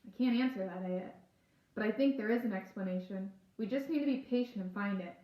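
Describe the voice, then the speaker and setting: A woman's voice: mid-range voice, a little fast, muffled recording, close to an everyday voice. A woman voicing a worried, skeptical schoolboy in a story read aloud, tense but reasoning. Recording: echoey room.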